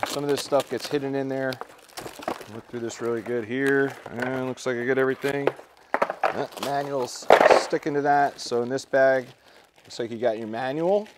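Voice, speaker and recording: A man presenting a product unboxing in a relaxed, practical way, thinking aloud with fillers, recorded indoors in a large concrete-floored space. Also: mid-range voice, noisy background. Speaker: tool store presenter. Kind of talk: casual product unboxing walkthrough.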